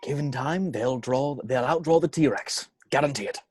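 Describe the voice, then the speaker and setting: A man playing a jovial, boastful older theme park owner in a script table read, with theatrical, halting delivery. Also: medium pitch, breathy edge, dry recording, close to an everyday voice. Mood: fascinated.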